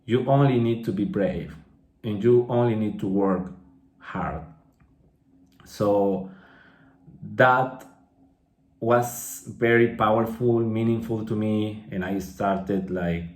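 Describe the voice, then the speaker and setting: Slow-speaking Peruvian man, echoey room. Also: lowish voice, darkish tone. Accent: Peruvian Spanish. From Peru. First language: Spanish. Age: 30s. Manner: slow and clear, restating phrases with tag questions like okay and right. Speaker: English teacher sharing a testimony. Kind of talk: personal testimony for English learners.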